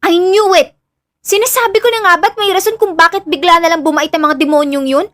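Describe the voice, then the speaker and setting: A woman playing an excitable, loyal young best friend in an audio drama, with a Filipino accent and exclamatory, slangy Taglish delivery. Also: very high voice, brisk. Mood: animated. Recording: music under the voice.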